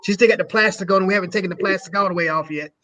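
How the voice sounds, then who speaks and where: A male podcast co-host with a notably high voice keeps things light, joking and laughing often. His talk is loose and casual. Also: very fast, bright, forceful tone.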